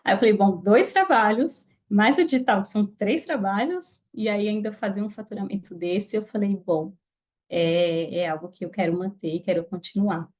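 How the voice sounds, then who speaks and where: A woman's voice: medium pitch, moderate pace, darkish tone. A woman with a Brazilian Portuguese accent, a podcast guest speaking earnestly and reflectively, with hesitant restarts. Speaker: guest, affiliate marketer. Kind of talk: casual podcast interview.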